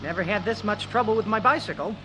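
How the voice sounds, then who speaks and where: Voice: medium pitch, quick pace, sharp tone, natural voice. An actor playing a good-natured sixteen-year-old boy with a wry, teasing way, in a 1970s radio drama. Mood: mildly exasperated. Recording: engine noise behind the voice. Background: car engine noise.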